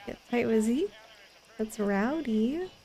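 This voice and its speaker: A woman's voice: on the high side, expressive, takes it slowly, mellow, faint music behind. A young woman streaming a game, chatting and giggling as she rambles about what she sees. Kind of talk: casual gameplay commentary.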